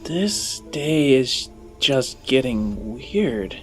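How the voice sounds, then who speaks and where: A man voicing a young orphan boy in a tabletop game, hesitant and halting in his speech. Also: mid-range voice, sing-song, soft background music. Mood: puzzled, hesitant.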